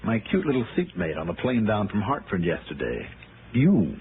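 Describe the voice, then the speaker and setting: Stubborn freelance investigator for vintage radio noir: animated, pressing, wry, medium pitch, narrow-band broadcast. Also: male voice, creaky, background noise. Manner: brisk, insistent, wisecracking. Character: stubborn, wry freelance insurance investigator, hard-boiled and persistent. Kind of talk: 1960s radio noir acting and first-person narration.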